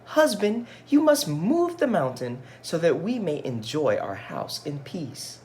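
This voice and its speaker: An unhappy storybook wife, acted out by a man, demanding and insistent. The voice is pushed high and swings in pitch, with some noise behind it. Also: very animated, measured pace, a little mellow. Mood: exasperated. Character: unhappy, demanding wife in a picture-book folktale.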